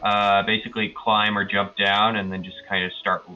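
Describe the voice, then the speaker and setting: Man, lowish pitch, forceful bright tone, hesitant, casual game player, slightly muffled recording. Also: little pitch movement, moderate pace. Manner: hesitant, thinking aloud. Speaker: tabletop roleplaying player. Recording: dull sound with music underneath. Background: music.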